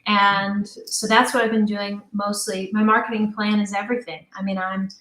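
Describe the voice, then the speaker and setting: A young woman speaks as a guest in a casual podcast interview. Her talk is chatty and emphatic, with a middle-range pitch. The recording has a noticeably roomy echo. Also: level tone, normal speed, a bit bright. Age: young adult. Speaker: interview guest, audio drama creator. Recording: roomy, echoey voice.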